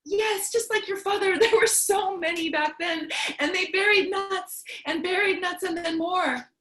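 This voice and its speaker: Woman acting high voice, tender mother, staged reading, storybook delivery. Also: measured pace, fairly bright tone. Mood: tender, nostalgic.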